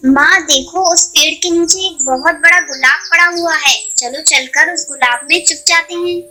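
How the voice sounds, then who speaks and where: A woman's voice: sits high, normal speed, sharp tone, poor audio. A woman voicing a young girl bird, an earnest, determined elder sister in a children's cartoon. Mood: eager. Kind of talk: Hindi children's cartoon voice acting.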